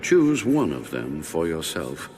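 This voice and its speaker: Deep, cavernous, gravelly voice of God. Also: male voice, wildly swooping pitch, takes it slowly, darkish tone, slight background noise, full character voice. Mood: solemn command. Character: God, a stern, commanding male deity pronouncing judgment. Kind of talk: dramatized audio Bible acting. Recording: long cavernous reverb over music.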